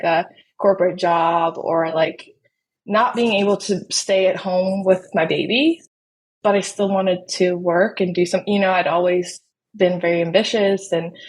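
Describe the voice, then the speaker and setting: Woman, thirties, Swedish native speaker, lowish voice, casual interview guest, faint noise and clicks. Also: fairly even intonation, unhurried, low-quality recording. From Sweden. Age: 30s. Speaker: podcast guest, wedding videographer. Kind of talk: casual interview, telling own story.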